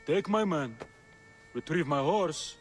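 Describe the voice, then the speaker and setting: A cagey male trader in a game cutscene, his voice fairly high with music underneath. He haggles with sardonic humor, always working a deal. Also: expressive, takes it slowly. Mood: businesslike. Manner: sardonic, bargaining. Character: wry, cagey male merchant and informant. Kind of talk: video game cutscene acting.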